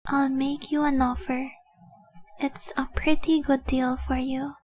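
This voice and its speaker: A woman voicing a tender, possessive young dragon girl in love, speaking softly and breathily in an intimate roleplay audio drama. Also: high-pitched, little pitch movement, unhurried, faint music behind. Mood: playful, bargaining. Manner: soft, breathy, lingering, adoring delivery.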